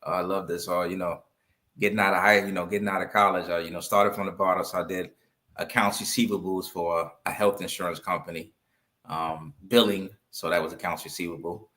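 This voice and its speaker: A man's voice: low-pitched, little pitch movement, fairly quick, bright, forceful tone. A man in his fifties from New York talking conversationally as an interview guest, repeating points for emphasis as he tells his story. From Brooklyn, New York. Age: 50s. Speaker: interview guest, accountant and business owner.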